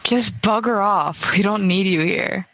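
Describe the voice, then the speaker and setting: A woman's voice: mid-range voice, measured pace, fairly bright tone, natural voice. A woman playing a brash, loyal teenage boy in an amateur radio play, casual and blunt. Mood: defiant.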